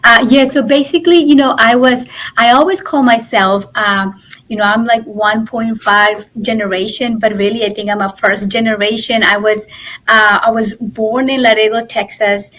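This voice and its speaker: A woman's voice: on the high side, steady tone, normal speed, fairly bright tone, a little hiss or hum, poor audio. A woman in her forties from Mexico, a native Spanish speaker with a Mexican accent, chattily telling her personal story as an interview guest. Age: 40s. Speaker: podcast guest, nonprofit president and business founder.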